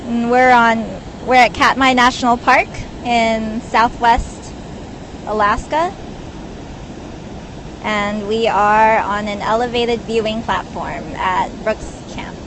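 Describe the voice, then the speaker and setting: A woman's voice: a little high, fairly even intonation, slow. A woman from Hawaii working as a park ranger, chatting upbeat and casually on a live stream from an outdoor viewing platform, with frequent uh fillers. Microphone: phone live-stream. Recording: outdoor noise behind the voice.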